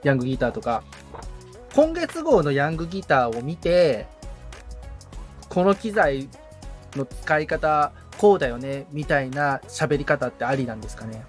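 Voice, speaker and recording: A man in a casual consultation, asking tentative questions as a beginner and often chiming in with quick acknowledgements. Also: a little high, expressive, faint music behind.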